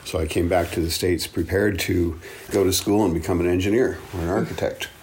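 A man's voice: low voice, steady tone, fairly quick, darkish tone, slight background noise. A man in his seventies from the Congo, a native speaker of English and Swahili, reflecting on his life in an earnest, thoughtful interview style. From Belgian Congo. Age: 70s. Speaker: interview guest, African studies professor. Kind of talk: reflective personal storytelling.

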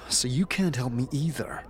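A man's voice: medium pitch, a little slow, slight background noise, voice pushed up, close to an everyday voice. A man playing a weary, impatient adventurer in a fantasy audio drama, with a sighing, grumbling manner.

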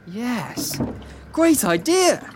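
A man playing a chummy, excitable, greedy gardener with a jovial, colloquial manner in a comic audio drama. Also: very high voice, drawn-out words, background noise, heavily acted.

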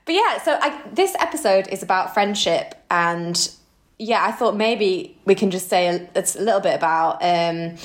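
A woman's voice: mid-range voice, animated, moderate pace. A woman in her thirties co-hosting a casual podcast chat in an effusive, affectionate way. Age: 30s. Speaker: podcast co-host. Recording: roomy, echoey sound.

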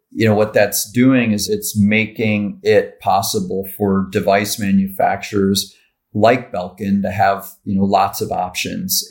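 A man answering podcast questions as a marketing director, in a measured corporate way that lists technical terms. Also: low-pitched.

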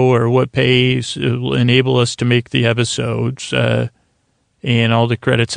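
A man with a creaky voice hosts a bedtime sleep podcast in a lulling, soothing, meandering monologue, often halting and trailing off mid-thought. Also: medium pitch, dry recording, clean studio sound. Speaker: podcast host.

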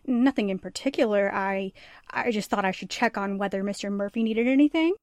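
A woman's voice: mid-range voice, a little fast, darkish tone, no echo, lightly acted. A woman acting a timid, dutiful office stenographer in a 1940s-style radio drama, hesitant and polite. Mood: nervous.

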